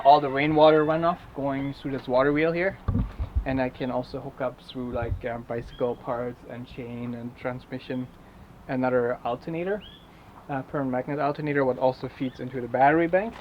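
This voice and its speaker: A man from Germany with a German accent, English his second language, giving a casual halting tour of his tiny house with many fillers. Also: mid-range voice, steady tone, lo-fi sound, a little hiss or hum. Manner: halting, many fillers and restarts, laughs often. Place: small wooden tiny house, indoors and just outside.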